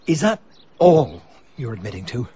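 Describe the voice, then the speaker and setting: An actor playing a polite, earnest police detective in a radio drama, speaking formally. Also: male voice, medium pitch, big pitch swings, normal speed, mellow, muffled recording, a little hiss or hum, natural voice. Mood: earnest, official.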